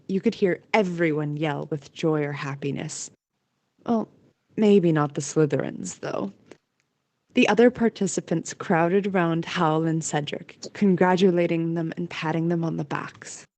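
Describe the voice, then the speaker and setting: Woman, story narrator, scripted reading, slightly low pitch, warm dark tone, close dry recording. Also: unhurried, no room sound.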